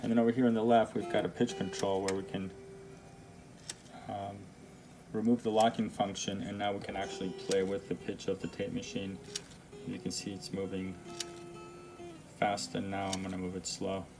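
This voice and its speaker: A man presenting a casual product demo in a halting, unscripted, explanatory way. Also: low-pitched, flat delivery, music in the background. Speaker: presenter demonstrating vintage audio gear.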